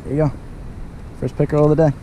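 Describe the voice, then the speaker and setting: Young man excitedly narrating his catches. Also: a little high, quick pace, low-quality recording. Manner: upbeat, excited play-by-play of catches. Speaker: fishing vlogger. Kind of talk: casual fishing commentary. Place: small boat on a lake, outdoors. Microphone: head-mounted action camera. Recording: outdoor wind and water noise.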